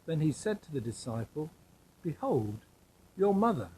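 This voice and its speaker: A man reading scripture aloud in a measured style with short phrases. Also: fairly high pitch, sing-song, mellow, slight background noise, low-quality recording. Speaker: scripture reader.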